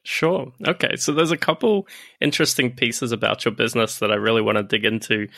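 A man hosting a business podcast with a brisk, friendly delivery. His voice sits at an ordinary pitch. It is recorded close and dry, with no room sound. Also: fairly even intonation, gravelly, bright, forceful tone, no room sound. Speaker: podcast host and deal maker. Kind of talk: scripted intro then casual interview.

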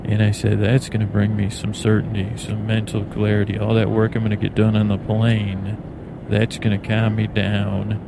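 A man hosting a bedtime podcast in a meandering, halting ramble, stammering and repeating words. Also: lowish voice, creaky. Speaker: podcast host. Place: on an airplane in flight. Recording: steady airplane cabin noise behind voice. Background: airplane cabin drone.

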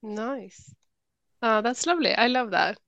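A woman's voice: a little high, expressive, unhurried, fairly bright tone, no echo, muffled recording. A woman hosting a podcast, chatting casually and putting her questions in a tentative, informal way. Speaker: podcast host. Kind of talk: casual interview chat.

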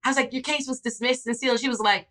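Animated Bahamian woman in her twenties. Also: fast. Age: 20s. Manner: lively, swings into high excited re-enactments. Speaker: public defender guest. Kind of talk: animated storytelling in casual chat.

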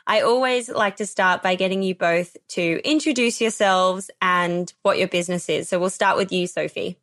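A woman's voice: lowish voice, steady tone, moderate pace, fairly bright tone, no room sound, studio quality. A woman hosting a podcast, asking interview questions and reacting with enthusiasm. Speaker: podcast host.

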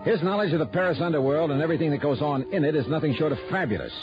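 An American actor playing a wry, sardonic insurance investigator in a 1960s radio detective drama, with clipped slangy talk. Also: male voice, fairly high pitch, animated, very fast, over music, lightly acted. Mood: brisk narrating. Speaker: lead actor and narrator. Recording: dull old radio broadcast recording.

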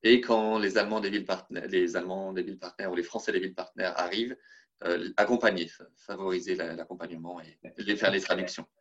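A man's voice: deep voice, steady tone, fast talker, a bit bright, dull audio. A man from France speaking in a casual interview, hesitant and full of restarts. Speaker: interview guest.

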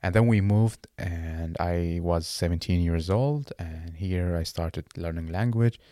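Deep-voiced Iraqi-born man, halting and earnest. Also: warm tone, no room sound, clean studio sound. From Iraq. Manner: halting, searching for words with many restarts. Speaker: guest. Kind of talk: casual interview conversation.

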